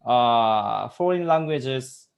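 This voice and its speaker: Halting Japanese-accented man, casual chat. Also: mid-range voice, lively intonation, vocal fry, dull audio. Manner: halting, frequent fillers, laughs easily. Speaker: co-host, patent professional. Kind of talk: casual chat in a second language.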